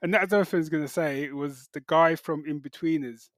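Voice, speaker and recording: A man's voice: a little high, fast talker, noisy background. A man co-hosting a podcast in casual, enthusiastic, colloquial talk. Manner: colloquial, enthusiastic, acts out quotes, often ends phrases with "man". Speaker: podcast co-host. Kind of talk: casual chat, reviewing television.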